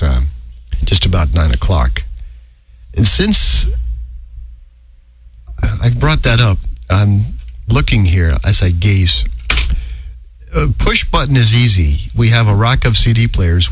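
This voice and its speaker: A man's voice: fairly low pitch, husky, no echo. A middle-aged man hosting a live radio show in a studio, chatting in a rambling, self-correcting way. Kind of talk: casual live radio patter.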